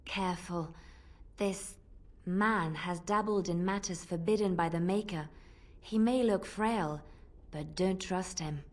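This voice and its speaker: An actress playing a wary, devout companion in a fantasy video game, speaking in a grave and measured manner. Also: female voice, on the low side. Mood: wary, stern. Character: wary, devout woman companion warning of forbidden magic.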